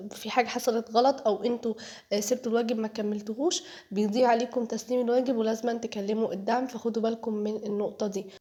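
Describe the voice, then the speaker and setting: A woman's voice: on the high side, dark tone. A teenage girl with an Egyptian Arabic accent, narrating her day in a chatty vlog voice-over while getting over a cold, talking straight to her viewers. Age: teens. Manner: chatty, jokey, addresses viewers directly. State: has a cold, voice sounds off. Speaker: vlogger, high school student.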